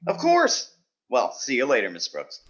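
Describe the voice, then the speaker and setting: An actor playing a timid, polite, awkward teacher, hesitant and deferential, in a vintage radio sitcom style. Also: male voice, mid-range voice, huge pitch swings, normal speed, a bit bright, dull audio. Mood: shyly polite.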